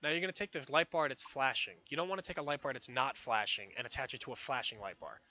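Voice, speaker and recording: Brief: hoarse man, bright tone, offhand tutorial commentary full of swearing. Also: medium pitch, quick pace, highs cut off. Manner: rambling, profane, offhand. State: losing his voice, hoarse. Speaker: modding tutorial streamer. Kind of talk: casual screen-recorded tutorial commentary.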